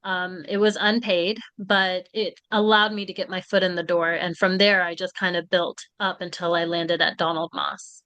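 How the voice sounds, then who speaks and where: A woman in her forties from Florida, a literary agent, talking in a measured, reflective way as an interview guest. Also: fairly low pitch, flat delivery, pushed and bright, clear, resonant tone, dry recording, muffled recording. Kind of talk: conversational interview.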